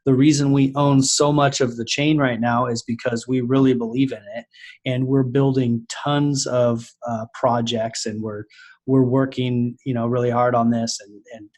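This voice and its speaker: Halting mid-pitched man, podcast host. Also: flat delivery, darkish tone. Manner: hesitant, halting with many uh and restarts. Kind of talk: casual podcast conversation.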